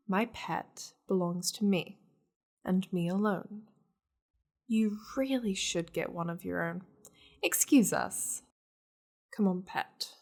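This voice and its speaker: A woman plays a powerful demon lady in an intimate ASMR roleplay. She speaks slowly and languidly, with a fry-edged voice that slips into breathy half-whispers. Her manner is seductive, teasing and possessive toward her pet. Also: medium pitch. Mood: possessive, guarded, then cool, commanding.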